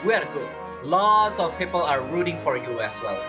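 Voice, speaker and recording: A woman's voice: sits low, sing-song, moderate pace. A woman who is not a native English speaker, giving casual game commentary and thinking aloud. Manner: thinks aloud, muttering reactions. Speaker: gameplay streamer. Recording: game music behind commentary.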